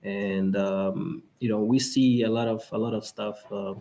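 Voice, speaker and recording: A man from Angola with an Angolan Portuguese accent, hosting a podcast and talking in a halting way with many fillers and restarts. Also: lowish voice, steady tone, mellow, a little hiss or hum. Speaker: podcast host. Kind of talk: casual conference talk.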